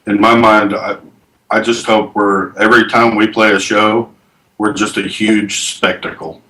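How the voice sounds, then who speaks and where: A man's voice: lowish voice, little pitch movement, unhurried, pushed and bright. A man in his forties, a band guest in a casual interview, earnest and rambling with fillers. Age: 40s. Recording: echoey room, distant from the mic.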